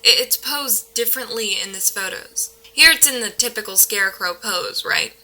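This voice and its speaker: A woman's voice: fairly high pitch, moderate pace, bright, forceful tone, rough recording. A woman playing a skeptical, level-headed paranormal investigator in an audio drama, dry and matter-of-fact. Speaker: actor in an audio play. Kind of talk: modern naturalistic audio drama.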